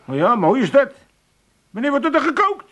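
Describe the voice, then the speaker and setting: A man's voice: extremely high pitch, wildly swooping pitch, normal speed, fairly bright tone, heavily acted. An actor playing a demanding, cheeky old Amsterdam father-in-law in a comic radio drama, whining and wheedling with an Amsterdam accent. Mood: whining, hungry. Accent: Amsterdam Dutch. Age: older.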